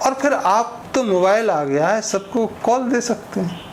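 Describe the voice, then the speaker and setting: A man's voice: sits high, lively intonation, a little slow, a little hiss or hum, poor audio. A man delivering an informal opinion monologue in a conversational way, explaining with everyday examples and imagined exchanges. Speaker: speaker giving social commentary.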